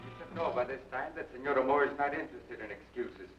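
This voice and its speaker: A man's voice: mid-range voice, fast talker, distant mic, music in the background, natural voice. An actor playing a stern male bandit captain with a clipped, formal manner in an old-time radio drama. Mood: scornful. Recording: muffled, echoey, hissy old radio recording.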